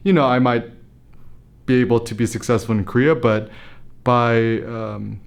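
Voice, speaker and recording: A man's voice: medium pitch, fairly even intonation, unhurried, vocal fry, clear, resonant tone. A young American man, a photography blogger, talking casually to camera and thinking aloud, with fillers and restarts. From United States. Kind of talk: casual motivational talk to camera.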